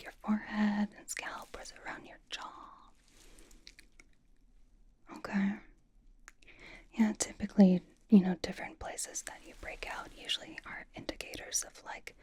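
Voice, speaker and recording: A woman's voice: mid-range voice, mellow, lightly acted. A woman playing a blunt, doting young pop idol in a whispered ASMR roleplay, with a casual, dry, slangy delivery. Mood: attentive, gentle.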